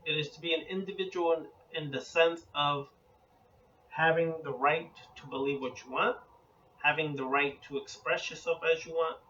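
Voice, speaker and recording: A man giving a spoken lecture as an occult teacher, measured and deliberate, building his points in lists. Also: a little high, a bit bright, recorded from a distance, lo-fi sound, a little hiss or hum.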